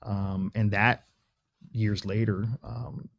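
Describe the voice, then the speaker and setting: A man's voice: fairly low pitch, flat delivery, takes it slowly, vocal fry, a little hiss or hum. A man in his forties from Tampa, Florida, answering as an interview guest in an informal, reflective, conversational way, often repeating phrases. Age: 40s. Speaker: interview guest, logistics branch manager.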